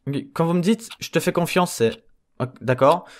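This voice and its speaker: A man's voice: on the high side, expressive, normal speed, close to an everyday voice. A man playing a flustered, sarcastic henchman clone, rambling and exasperated in a comedic audio drama. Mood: flustered, defensive.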